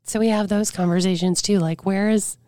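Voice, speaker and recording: A woman's voice: medium pitch, fairly even intonation, a little fast, no echo, clean studio sound. A woman guest on a podcast talking candidly and earnestly about her life, her weakened voice sometimes slipping into a whisper. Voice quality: weakened voice that cannot get loud. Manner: earnest, reflective, with many "like" fillers. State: voice drops to a whisper at times. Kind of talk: candid personal storytelling.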